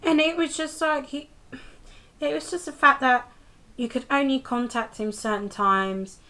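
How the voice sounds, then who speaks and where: A woman's voice: high-pitched, a little slow, pushed and bright. A young British woman doing a casual, rambling review of a TV episode, talking into a handheld camera held close to her face, with lots of ums and restarts. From United Kingdom.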